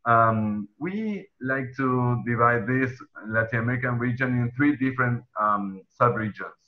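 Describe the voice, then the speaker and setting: A man's voice: mid-range voice, lo-fi sound. A young man from Argentina with an Argentine Spanish accent, moderating a webinar panel over a video call, full of ums and restarts. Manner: hesitant, many ums and restarts. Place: indoor room at home. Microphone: headset earphones on video call.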